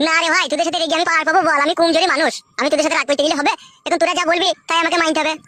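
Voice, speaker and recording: A man's voice: extremely high pitch, steady tone, rapid-fire, pushed and bright, dry recording. A man voicing a cheeky, hot-headed young village husband in a comic cartoon, whining and arguing back. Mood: aggrieved. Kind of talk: comic cartoon dialogue in colloquial rural Bengali.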